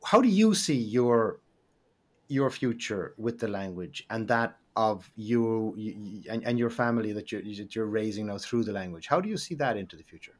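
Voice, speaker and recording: A man interviewing in a conversational way, halting and repeating words as he forms his thoughts. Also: on the low side, lively intonation. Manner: halting, repeats words while forming questions. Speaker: podcast interviewer.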